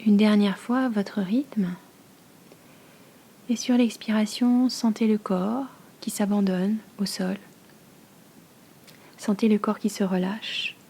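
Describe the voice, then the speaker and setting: A woman leads a guided meditation as a yoga nidra teacher, speaking slowly in a hushed, soothing manner with long pauses and a soft, breathy voice. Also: mid-range voice, steady tone, slight background noise.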